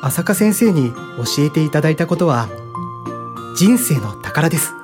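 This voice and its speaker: A man's voice: a little high, sing-song, unhurried, music in the background. An actor playing an earnest, polite young man in a radio drama, speaking sincerely and respectfully. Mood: sincere, grateful. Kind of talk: Japanese radio drama acting.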